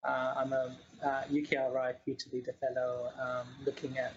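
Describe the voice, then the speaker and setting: Woman scientist talking slow and hesitant, um a lot, pretty monotone, dull lo-fi sound. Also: rumbling low. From New Zealand. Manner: hesitant, many fillers and restarts. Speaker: guest scientist. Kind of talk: casual interview chat.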